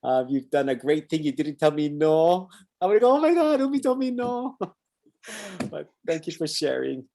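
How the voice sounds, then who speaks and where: A man's voice: fairly high pitch, big pitch swings, moderate pace, gravelly, mellow. A man with a Hawaiian Pidgin accent hosting a talk show, chatting loosely and reading viewer comments aloud with Hawaiian words mixed in. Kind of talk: casual live-stream sign-off chat.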